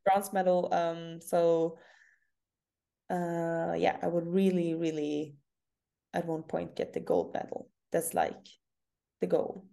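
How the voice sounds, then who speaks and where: A young woman from northern Norway talks in English with a Norwegian accent, a relaxed interview guest who laughs easily. Her voice sits low and sounds warm and mellow. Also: slow, creaky, pauses often. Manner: candid, laughs often. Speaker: CrossFit athlete guest. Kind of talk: casual interview.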